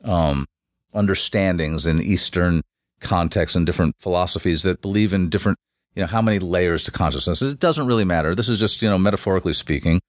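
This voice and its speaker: Low-voiced guy on a podcast, keeps restarting sentences, dry close sound. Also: no room sound. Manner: halting, many restarts and fillers. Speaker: guest, shamanic facilitator. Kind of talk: casual podcast interview.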